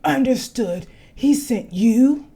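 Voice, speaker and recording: Woman putting on a loud, courtly forest guardian man. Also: fairly high pitch, expressive, slow, husky, full character voice.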